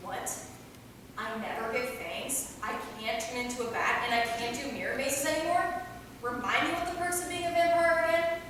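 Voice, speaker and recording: Actress doing a bratty excitable vampire girl, high voice, stage reading, super echoey and noisy. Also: moderate pace, lightly acted. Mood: indignant. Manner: sassy, theatrical, boastful. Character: cocky, mischievous, excitable young female vampire. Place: theater hall, recorded from a distance. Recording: very echoey hall, far mic, noisy.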